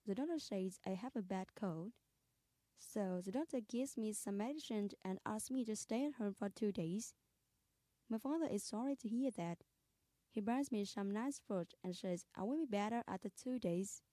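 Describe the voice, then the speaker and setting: A woman with a mellow, mid-pitched voice reads out English exercises for beginners. She speaks carefully and plainly, and the recording is dry, with no room echo. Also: moderate pace. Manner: plain, careful dictation of items. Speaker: English listening-practice reader. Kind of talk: scripted reading of textbook exercises.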